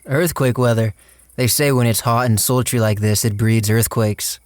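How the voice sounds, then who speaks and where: A man acting a guilt-ridden, fatalistic plotter who tells his story in a radio drama, somber and deliberate. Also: mid-range voice, fairly even intonation, no echo, clean studio sound, natural voice. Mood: uneasy. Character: Clyde, guilt-ridden, fatalistic man narrating a murder plot. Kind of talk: dramatic first-person radio drama narration.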